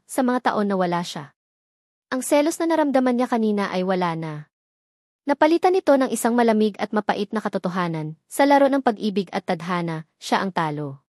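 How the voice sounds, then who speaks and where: A woman storyteller reading a dramatic romance aloud, steady and scripted. Her voice sits at a middle pitch and is recorded very dry and close, with no room sound. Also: no room sound.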